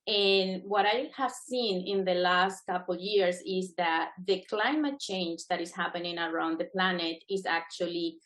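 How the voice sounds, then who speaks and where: A middle-aged woman from Venezuela, a native Spanish speaker, giving earnest, encouraging remarks as a guest speaker at a webinar. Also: fairly low pitch. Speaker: guest speaker, adjudicating panel member. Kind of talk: prepared webinar remarks, semi-spontaneous.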